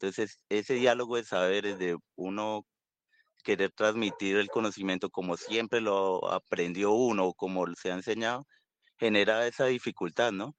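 A man, a university lecturer explaining his work in a radio interview in a conversational way, filling pauses with "pues". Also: lowish voice, fairly even intonation, no room sound.

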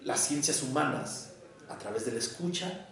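A psychoanalyst from Mexico with a Mexico City accent, giving an academic lecture in a measured, deliberate way with short pauses between phrases. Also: male voice, medium pitch, animated, gravelly, a bit bright. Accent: Mexican Spanish, Mexico City. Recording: echoey room, voice picked up from far off.

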